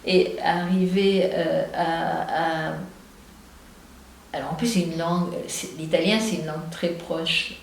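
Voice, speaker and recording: A woman's voice: on the low side, steady tone, slow pace. A poet and translator from Marseille, a woman with a Marseille accent, who talks through her thoughts with frequent hesitations and restarts. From Marseille, southern France. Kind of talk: reflective interview talk. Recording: very echoey room with faint noise.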